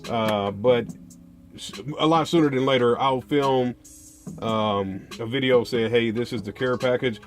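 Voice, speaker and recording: Low-pitched American man chatting casually over music. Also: measured pace. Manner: colloquial slangy delivery, often ending phrases with "man". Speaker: car audio video host. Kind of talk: casual unboxing and product chat to viewers.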